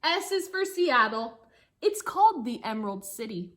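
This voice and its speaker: Female teacher for children's picture-book read-aloud. Slightly high voice, lively singsong intonation, engaging asides to young listeners. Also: slight background noise. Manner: singsong storybook phrasing, pausing to engage listeners.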